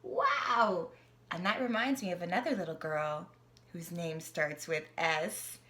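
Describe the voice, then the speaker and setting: A young woman reads an alphabet picture book aloud to her niece, playful and animated, often dropping to a whisper. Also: lowish voice, a little slow. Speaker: aunt reading to her niece.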